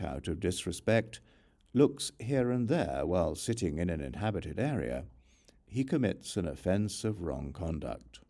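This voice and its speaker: A man narrating a religious text as an audiobook in a measured, formal, deliberate manner. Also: deep voice, dark tone.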